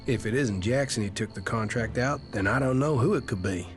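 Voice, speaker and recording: An actor playing a wary former gang outlaw in a video game, rueful, halting and fatalistic. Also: male voice, mid-range voice, soft background music, lightly acted. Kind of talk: video game character dialogue.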